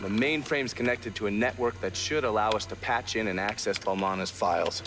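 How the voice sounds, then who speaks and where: Gruff, cynical intelligence man played by an actor. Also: lowish voice, fairly quick, music in the background, close to an everyday voice. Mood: grave, matter-of-fact. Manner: terse, cynical, swearing. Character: gruff, foul-mouthed male intelligence operative briefing his partner. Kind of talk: video game cutscene acting.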